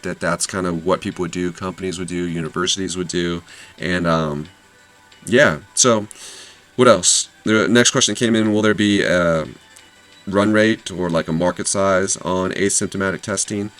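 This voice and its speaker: A man's voice: low voice, fairly even intonation, moderate pace, fairly bright tone, faint music behind. A man hosting an investing channel, casually summarizing an earnings call with lots of fillers and self-corrections.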